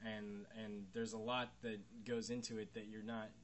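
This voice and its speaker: Male bassist, low voice, hesitant and searching delivery. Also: little pitch movement, normal speed. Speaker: bass player. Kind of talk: casual interview answer.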